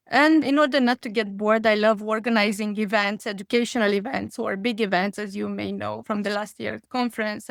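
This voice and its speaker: A woman speaks conversationally as an interview guest. Her voice is a little high and smooth, yet threaded with noticeable creak, and recorded dry. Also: dry recording. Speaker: podcast guest, psychotherapist.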